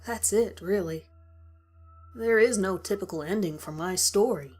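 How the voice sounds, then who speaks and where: A woman's voice: mid-range voice, unhurried, a little mellow, husky. A woman reading a first-person horror story as a dramatic scripted narration. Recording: music behind the voice. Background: music.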